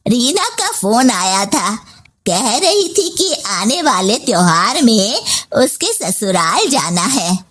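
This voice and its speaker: A woman's voice: on the high side, sing-song, takes it slowly, fairly bright tone, full character voice. A woman voicing a domineering, demanding older mother-in-law in a story, scolding and complaining. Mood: bossy. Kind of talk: story voice acting.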